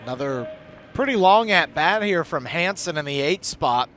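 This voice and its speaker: Higher-pitched male baseball color commentator. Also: animated, normal speed, fairly bright tone, a little hiss or hum. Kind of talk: conversational live sports analysis. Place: outdoor ballpark broadcast.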